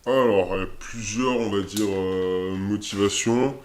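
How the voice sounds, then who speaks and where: A man in his twenties with a very deep voice, answering interview questions in a relaxed way. He speaks hesitantly, with many fillers and restarts as he looks for his words. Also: measured pace, a little hiss or hum. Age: 20s. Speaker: interviewee, army soldier.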